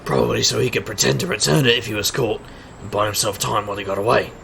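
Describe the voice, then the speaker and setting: A cocky trickster detective played by a man in an audio drama, his voice heavy with gravel and edged bright. He works like a street magician, wry and theatrical, with showy patter over faint background noise. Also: lowish voice, fairly even intonation, quick pace. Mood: wry, explaining. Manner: showman's sing-song patter, wry and theatrical. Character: male street magician private investigator, cocky, wisecracking trickster. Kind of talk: scripted audio drama acting.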